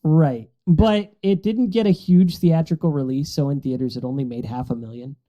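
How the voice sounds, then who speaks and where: A man's voice: a little high, fairly even intonation, normal speed, warm tone, dull audio. A man chatting casually on a podcast about movies, telling things in a rambling, amused way. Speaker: podcast panelist.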